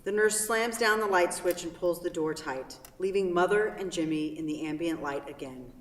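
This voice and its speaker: A woman serves as narrator and host at a play reading. Her voice is a little low and is picked up from a distance in a very echoey hall. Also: moderate pace. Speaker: stage-directions narrator and event host. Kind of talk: scripted narration and hosting. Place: theatre hall.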